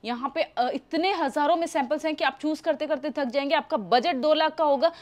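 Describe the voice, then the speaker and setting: A young Indian woman in her twenties giving a talkative, persuasive sales pitch as she shows goods to camera. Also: brisk, a little mellow. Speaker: shop sales presenter. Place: indoor textile showroom.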